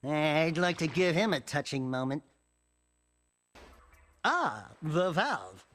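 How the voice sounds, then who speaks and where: A man's voice: on the high side, very animated, takes it slowly, a bit bright, no echo, clean studio sound, heavily acted. A man voicing a small, cocky, jittery animal sidekick in a video game, quipping with streetwise sarcasm. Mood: cocky sarcastic.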